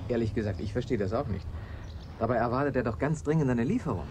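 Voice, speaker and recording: An earnest young male assistant, played by an actor in a vintage radio drama. He is excitable, with a very animated pitch that swings widely. Faint tape hiss runs under the recording. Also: fast talker, natural voice. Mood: guarded, matter-of-fact. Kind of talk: 1980s German radio play acting.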